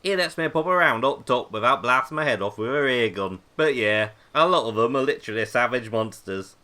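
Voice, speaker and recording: A man playing a grumpy, blunt bunker-dwelling survivor in a comedic audio drama, with a deadpan, grumbling delivery. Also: medium pitch, fairly quick. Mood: matter-of-fact, grumbling.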